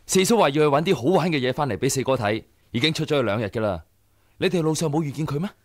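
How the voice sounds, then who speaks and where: An actor playing an eager, respectful young sworn brother reporting deferentially in a radio drama. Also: male voice, on the high side, animated, fast, a little mellow, no echo, clean studio sound, lightly acted. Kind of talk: Cantonese radio drama acting.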